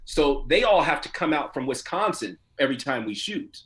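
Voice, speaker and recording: A man who works as an actor, talking casually and colloquially as an interview guest, telling stories in an animated way. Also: fairly high pitch, moderate pace. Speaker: voice and motion capture actor being interviewed.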